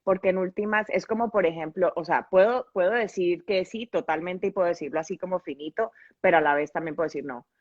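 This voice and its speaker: A low-pitched woman with a Colombian accent answers interview questions in a reflective, explanatory way. The sound is dry and close, though dull and lo-fi. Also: fast, hardly any pauses, no echo. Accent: Colombian Spanish. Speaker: podcast guest and grief facilitator.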